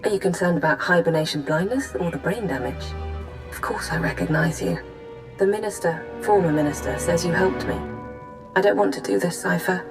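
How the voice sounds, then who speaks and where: An actress playing a proud, weary, sardonic intelligence agent with a dry, guarded manner in a science-fiction video game. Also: female voice, fairly low pitch, fairly quick, music in the background, natural voice. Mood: guarded, vulnerable. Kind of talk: scripted video game acting.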